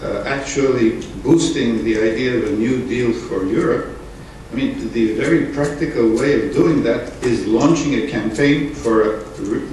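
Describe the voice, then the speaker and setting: A middle-aged man from Bulgaria speaks in a measured, deliberate way. He is heard from across a large conference room, where a table microphone catches heavy echo. A steady noise sits under his voice. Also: medium pitch, creaky. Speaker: member of parliament. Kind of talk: formal remarks at a public assembly. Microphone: table gooseneck microphone. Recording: echoey room from far off, noisy.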